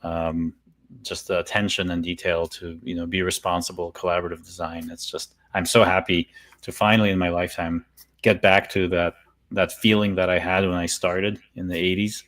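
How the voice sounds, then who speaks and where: A man's voice: low voice, little pitch movement, moderate pace, fairly bright tone, dry recording. A middle-aged man from Poland chatting casually with enthusiastic emphasis and informal wording. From born in Poland. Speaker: guest speaker and software practitioner. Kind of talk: casual chat.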